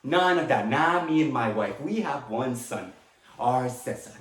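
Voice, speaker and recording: A man performing a dramatic monologue as a talkative, tender immigrant father with a Latin American Spanish accent, rambling haltingly with small chuckles. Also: mid-range voice, expressive, lightly acted. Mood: insistent, tender. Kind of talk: dramatic monologue, stage acting. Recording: echoey room.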